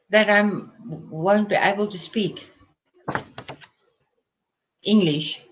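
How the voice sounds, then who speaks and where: A woman's voice: medium pitch, drawn-out words, pauses often, a little hiss or hum, poor audio. A woman whose first language is Afrikaans, speaking English with an Afrikaans accent as a crafter in a casual live interview, chatty and laughing often.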